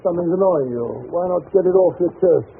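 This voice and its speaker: A man playing a genial, mildly exasperated gentleman companion in a 1930s radio drama. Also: high-pitched, fairly quick. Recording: scratchy, tinny 1930s radio recording with hiss.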